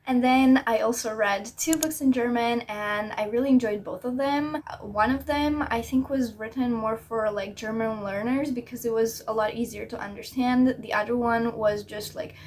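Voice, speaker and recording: A woman's voice: fairly high pitch, steady tone, moderate pace, hardly any pauses, slight background noise. A woman vlogger giving a casual update on her language learning, chatty and self-critical, with many fillers.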